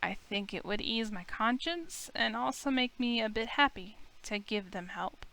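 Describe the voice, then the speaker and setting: Smooth-voiced woman reading a story aloud. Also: a little high, animated, moderate pace, pushed and bright, low-quality recording. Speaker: game player reading a visual novel aloud. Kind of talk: first-person story reading, acting out the heroine's lines.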